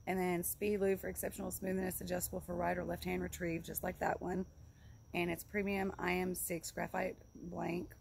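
Woman, thirties, heavy vocal fry, informal rambling review, outdoor phone-style recording with noticeable background noise. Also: lowish voice. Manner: rambling, informal, repeats herself. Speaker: vlogger reviewing fishing gear. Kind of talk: casual product review to camera. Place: outdoors in a leafy yard by a log building.

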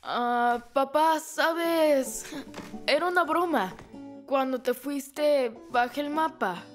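A woman voicing a hopeful, naive little child in an animated cartoon dub, with a childlike delivery. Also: high voice, slow, faint music behind. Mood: sheepish.

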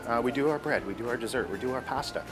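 A middle-aged male chef, talking through a cooking demonstration in a restaurant kitchen in a relaxed, explanatory way with fillers. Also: mid-range voice, very fast, music in the background.

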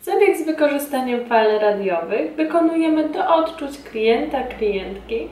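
Woman doing a formal training video voiceover, highish voice, echoey room, bit far from mic. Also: animated, darkish tone. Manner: formal, measured. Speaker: instructor presenting a beauty device. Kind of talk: scripted instructional narration. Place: small indoor beauty treatment room. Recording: roomy echo, distant mic.

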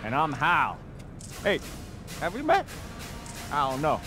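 A man's voice: fairly high pitch, huge pitch swings, takes it slowly, music in the background, exaggerated voice. An actor playing a cocky, exuberant young American street racer in a video game, slangy and drawn out in his emphasis. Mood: exuberant boasting. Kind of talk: video game cutscene acting.

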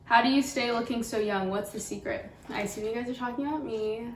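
A woman's voice: a little high, slight background noise. Woman, 22, vlogger, playful, teasing, casual chat, living room couch, roomy, distant mic. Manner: playful, teasing, quick asides. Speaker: YouTube vlogger, host. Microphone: camera mic, from a distance.

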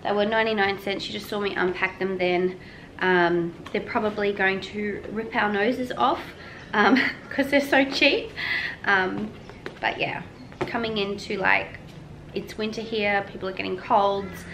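A woman's voice: medium pitch, fairly even intonation, a little slow, a bit bright, a little hiss or hum. Chatty woman filming at home. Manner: chatty, rambling, frequent ums. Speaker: homemaking vlogger. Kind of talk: casual chat to camera. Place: home kitchen and laundry room, indoors. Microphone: camera on a tripod at a few feet.

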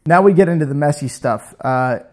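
A man's voice: on the high side, expressive, a little fast, darkish tone. A man in his thirties hosting a casual woodworking demo in his garage workshop, chatty and self-joking, on a lapel mic. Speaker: woodworking channel host.

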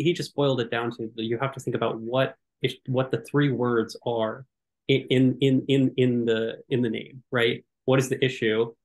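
Gravelly young man on a headset. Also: mid-range voice. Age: young adult. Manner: animated, gesturing, many repeated false starts. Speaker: curatorial fellow. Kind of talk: panel conversation on a video call.

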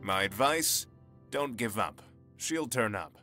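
An actor playing a helpful, plain-spoken tax collector in a fantasy game dub. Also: male voice, on the low side, animated, slow pace, over music. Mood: helpful, matter-of-fact. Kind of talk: video game character dub.

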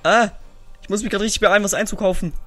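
A young man giving excited, casual gameplay commentary, often repeating phrases in quick bursts. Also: sits high, faint music behind. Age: young adult. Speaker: gaming YouTuber.

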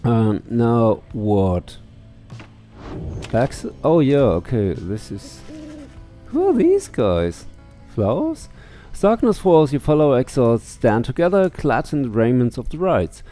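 Slow, mellow man commentating a game. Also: medium pitch, big pitch swings. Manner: muttering to himself, casually sweary. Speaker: let's play streamer. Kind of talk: casual gameplay commentary, reading game text aloud. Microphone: headset. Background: faint game music.

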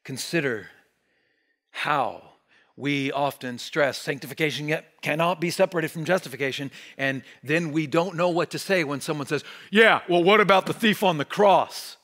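A man in his forties preaching a sermon from a pulpit, animated and emphatic, dropping to near-whispers on key words. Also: on the high side, normal speed. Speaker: pastor. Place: church stage behind a wooden pulpit.

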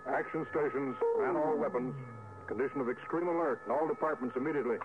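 An actor playing a stern, wary spaceship captain in a commanding, clipped 1950s radio drama style. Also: male voice, mid-range voice, fast talker, lightly acted. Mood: brisk, alert. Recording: muffled, noisy vintage radio recording.

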